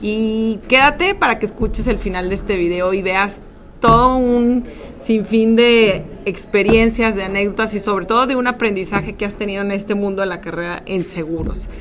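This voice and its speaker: A woman hosting an interview show in an upbeat, casual way, often filling pauses. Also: mid-range voice, fairly bright tone. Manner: upbeat, with frequent filler words. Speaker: podcast host. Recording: faint background noise.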